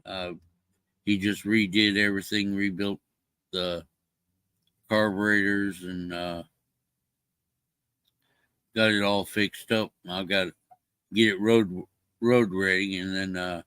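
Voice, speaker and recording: A man's voice: sits low, little pitch movement, slow, pauses often, fairly bright tone, clean tone, no echo. An older man chatting casually on a livestream, rambling with many fillers and restarts. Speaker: livestream co-host. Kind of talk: casual chat.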